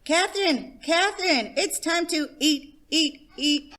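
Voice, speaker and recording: A woman voicing an eager, hungry little boy in a children's storybook, excitable and given to sing-song chanting. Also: high-pitched, slow pace, pitched above the performer's own voice, full character voice. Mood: excited, chanting.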